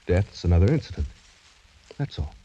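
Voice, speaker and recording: Dark-voiced, proud young gallant. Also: male voice, low voice, sing-song, moderate pace. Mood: proud, restrained. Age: young adult. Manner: formal, brooding, melodramatic. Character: proud young French gentleman, condemned, bitter and gallant. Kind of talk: 1940s radio drama acting. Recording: muffled, noisy 1940s radio broadcast.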